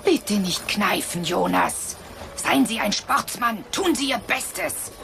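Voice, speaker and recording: Slow-talking cold, selfish woman. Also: medium pitch, sing-song, fairly bright tone, faint music behind, heavily acted. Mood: goading. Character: cold, self-interested woman gambler. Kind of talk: German radio drama acting.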